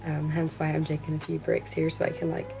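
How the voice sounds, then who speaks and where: A woman's voice: deep voice, completely flat delivery, a little fast, gravelly, over music. A young woman with a Northern Irish accent chatting casually in a student vlog, in a self-deprecating way. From Northern Ireland. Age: young adult. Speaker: student vlogger. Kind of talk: casual study vlog chat.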